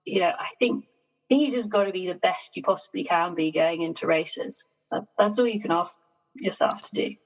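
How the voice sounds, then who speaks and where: Gravelly-edged woman, measured and chatty. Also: on the low side. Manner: measured, self-deprecating, laughs often. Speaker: sailing guest. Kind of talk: casual podcast interview. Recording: dull lo-fi sound.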